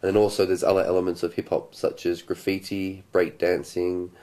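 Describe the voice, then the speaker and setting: A twenty-seven-year-old Australian man explaining his documentary work in a relaxed, thoughtful way, pausing with ums and restarting sentences. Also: deep voice. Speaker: documentary filmmaker. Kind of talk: casual explanatory talk to camera.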